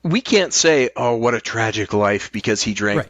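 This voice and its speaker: A man's voice: on the low side, expressive, normal speed, a bit bright, dry recording. A man chatting casually as a podcast guest, reflective, posing rhetorical questions and swearing freely. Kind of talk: casual chat.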